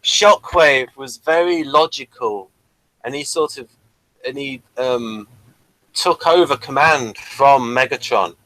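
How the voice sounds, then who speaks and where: Man with a very bright, sharp voice chatting. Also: medium pitch, slow, rough recording. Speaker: host of a TV review show. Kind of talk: casual review chat.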